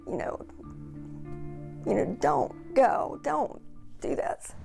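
A woman's voice: fairly high pitch, big pitch swings, slow, vocal fry, over music. A middle-aged woman speaking personally and emotionally in an interview at home. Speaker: interviewee, bereaved mother. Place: living room at home.

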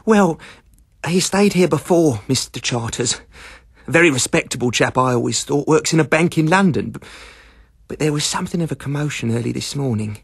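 A man's voice: a little high, animated, moderate pace, gravelly, slightly rough, no echo, clean studio sound, pitched above the performer's own voice, full character voice. Earnest, polite teenage lodging-house lad played by a male reader, confiding and deferential, audiobook. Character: earnest, deferential teenage boy of seventeen, landlady's son.

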